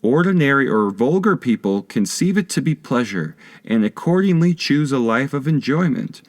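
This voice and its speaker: Man reading philosophy with very lively pitch. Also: normal speed. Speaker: audiobook reader. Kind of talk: scripted reading of a philosophy text.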